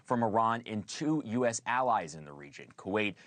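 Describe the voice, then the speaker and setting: Male correspondent, American accent, lower register, dry close sound, measured live news reporting. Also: lively intonation, fairly bright tone, no room sound.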